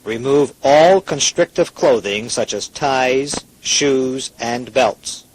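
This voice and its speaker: An actor playing a stern official emergency announcer, reading scripted instructions in a formal, deliberate, authoritative way. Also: male voice, mid-range voice, a little hiss or hum, natural voice, low-quality recording.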